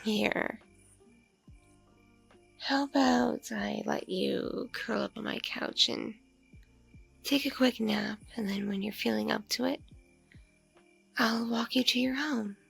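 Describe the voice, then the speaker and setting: Give the part to a mature female vampire, acted by a woman, seductive and politely predatory. Her voice sits fairly high yet crackles heavily with fry. She murmurs slowly and intimately, doting on her prey in an ASMR roleplay and slipping into whispers. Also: fairly even intonation, fairly bright tone, soft background music, heavily acted. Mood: doting, reassuring. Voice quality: husky, creaky.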